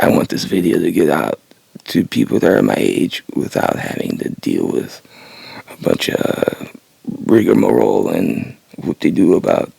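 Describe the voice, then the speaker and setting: A man in his sixties talking about learning to sing in his retirement, raspy and a little congested, halting with many pauses and small laughs. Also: rumbling low, a little mellow, no room sound. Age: 60s. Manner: halting, many uhs and restarts, laughs to himself. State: nasal congestion. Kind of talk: casual rambling monologue. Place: home, early morning.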